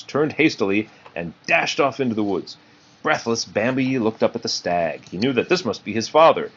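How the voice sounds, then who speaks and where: A low male voice reads a children's story aloud with lively, varied intonation. The recording is slightly muffled, with faint noise behind him. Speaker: storybook reader. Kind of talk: children's read-aloud narration.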